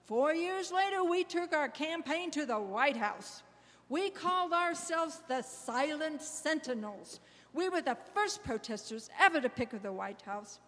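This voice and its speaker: High-voiced militant suffragist, played by a woman. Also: lively intonation, unhurried, a bit bright. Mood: indignant, proud. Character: militant, dramatic, resolute female American suffragist. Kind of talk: readers theater monologue. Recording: reverberant hall, long echo.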